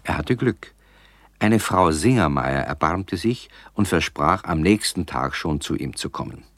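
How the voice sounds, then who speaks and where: Deep-voiced male storyteller, close and dry. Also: expressive, fairly bright tone, no echo. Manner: measured, storytelling. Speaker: narrator. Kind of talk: scripted children's story narration.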